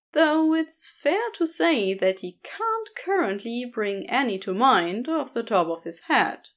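A woman reading a story aloud as an expressive narrator of scripted fiction. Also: a little high. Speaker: podfic reader.

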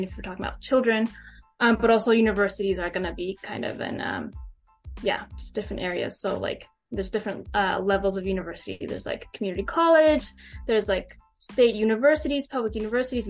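Chatty American woman, relaxed guest. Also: on the high side. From San Antonio, Texas. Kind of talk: casual interview chat.

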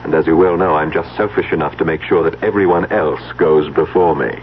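A man's voice: extremely low pitch, fairly even intonation, fairly quick, over loud music. An actor playing a selfish, coolly calculating male schemer in a 1970s radio drama. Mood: cold, scheming. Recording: dull, noisy old radio recording.

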